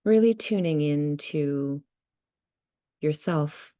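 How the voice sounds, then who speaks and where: Low, mellow-voiced woman guiding a meditation. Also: no room sound, dull audio. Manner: gentle, unhurried, with many pauses between short phrases. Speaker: guided meditation facilitator. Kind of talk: guided visualization and meditation. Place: online video session.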